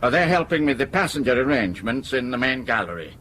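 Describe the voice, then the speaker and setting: An actor in a radio drama, playing a respectful, practical airline crewman with a brisk, eager manner. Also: medium pitch, sing-song, a bit bright, slight background noise. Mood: brisk, helpful.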